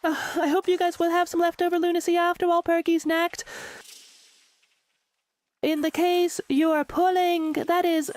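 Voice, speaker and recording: A woman with a very high-pitched voice fills in on a livestream, chatting casually to the viewers. Her delivery is deadpan, staying on a narrow, flat pitch. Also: moderate pace, long pauses, dry recording. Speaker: guest filling in on a livestream. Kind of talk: casual chat to stream viewers.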